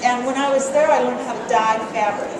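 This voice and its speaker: A middle-aged woman from Colorado talks about her quilts in a loose, self-deprecating way, pausing often on fillers. Her voice sits a little high. She is picked up from a distance in a very echoey show hall with loud noise all around. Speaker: quilt artist and teacher. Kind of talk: casual demonstration and interview.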